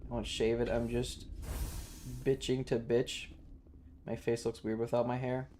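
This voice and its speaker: A man's voice: medium pitch, flat delivery, unhurried, a little mellow, slight background noise. A man livestreaming a video game with casual, slangy, swearing chatter and jokey commentary. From Southern United States.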